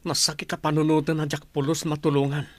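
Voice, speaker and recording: An actor with an Ilocano accent playing a worried, deferential household underling in a radio drama, anxious and respectful. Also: male voice, on the high side, flat delivery, fast talker, dry recording, lightly acted.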